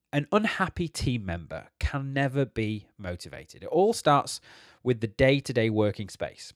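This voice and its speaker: A man hosts a business podcast with a slight croak from a cold. He sounds upbeat and encouraging, and his pitch moves around a lot. The sound is dry and close, with no room echo. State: hoarse from a cold. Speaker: podcast host. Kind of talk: solo business podcast talk.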